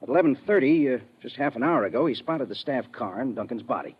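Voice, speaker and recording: Fast-talking army lieutenant. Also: male voice, mid-range voice, lively intonation, husky. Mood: brisk, businesslike. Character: brisk male army lieutenant investigating a murder. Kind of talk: old-time radio drama acting. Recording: dull, muddy vintage radio recording.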